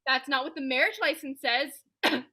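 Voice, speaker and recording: Woman acting a scorned soap-opera wife, very high, sharp forceful voice, imperious, theatrical. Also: normal speed. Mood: commanding. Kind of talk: radio play soap opera parody.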